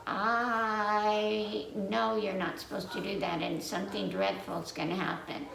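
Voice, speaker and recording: A woman's voice: mid-range voice, level tone, a little slow, vocal fry. A woman voicing young ghost siblings in a children's story, reproachful and full of warnings. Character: scolding ghost brothers and sisters, young tattletales. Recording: roomy, distant mic with faint noise.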